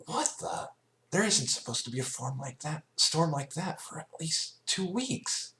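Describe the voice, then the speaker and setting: A brash young female pegasus pony from a cartoon story, played by a man. The pitch is pushed well up. The delivery is blunt, excitable and full of exclamation. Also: unhurried, full character voice. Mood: brash, alarmed.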